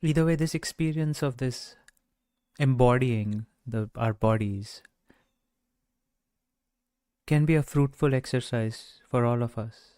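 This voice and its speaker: A man guiding a meditation in a gentle, measured way, speaking off the cuff with long pauses. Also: mid-range voice, clear, resonant tone, no room sound. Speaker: meditation guide. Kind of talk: guided meditation, unscripted talk.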